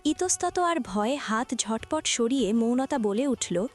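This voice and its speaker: A woman's voice: on the high side, animated, unhurried, soft background music. A woman reading scripted narration for a romantic family audio story.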